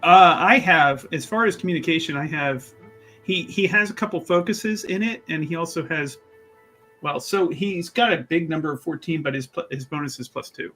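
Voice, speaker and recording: A man with a fairly high voice takes part in a tabletop roleplaying game. His talk is casual and conversational, with faint music playing behind it. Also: moderate pace, bright, forceful tone.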